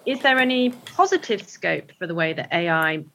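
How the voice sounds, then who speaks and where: A woman's voice: on the low side, lively intonation, normal speed, fairly bright tone, soft background music. A woman hosting a thoughtful academic interview, speaking in a reflective, exploratory way. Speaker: interviewer, podcast host. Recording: dull, lo-fi sound.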